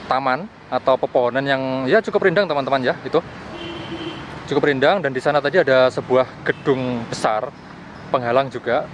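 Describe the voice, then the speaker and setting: A man's voice: medium pitch, muffled recording. A young man vlogger casually explaining a field test as he walks, repeating himself, recorded outdoors at arm's length. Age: young adult. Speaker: vlogger reviewing gadgets. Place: outdoors in open plazas, park and street. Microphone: camera at arm's length. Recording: noisy outdoor street and park ambience.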